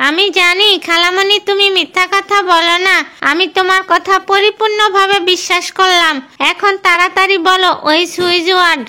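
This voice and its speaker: A woman's voice: extremely high pitch, normal speed. A woman voicing an eager, chatty, easily frightened young girl in a cartoon. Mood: trusting. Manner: chatty, questioning, excitable. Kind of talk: Bengali cartoon voice acting.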